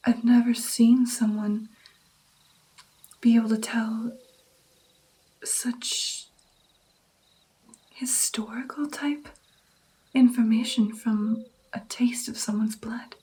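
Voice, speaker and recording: A woman doing whispered ASMR roleplay as a gentle, caring vampire mentor, with an intimate, breathy delivery. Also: fairly high pitch, fairly even intonation, slow pace, long pauses, low-quality recording.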